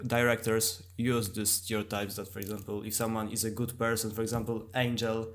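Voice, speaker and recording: A man with a non-native English accent co-hosting a casual podcast conversation, speaking haltingly as he searches for words. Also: on the low side, level tone. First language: not English. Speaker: podcast co-host.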